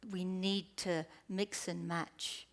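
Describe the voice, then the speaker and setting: A woman's voice: fairly low pitch, level tone, slow pace. A woman speaking as the arts voice on a panel, earnest and emphatic. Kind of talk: panel discussion remarks.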